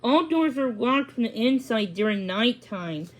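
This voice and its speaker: A young female pony from a children's storybook, acted out by a woman reader. She speaks in a fairly high voice, with a loyal, playful and earnest temper. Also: expressive, measured pace. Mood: earnest, worried. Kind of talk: children's storybook character dialogue.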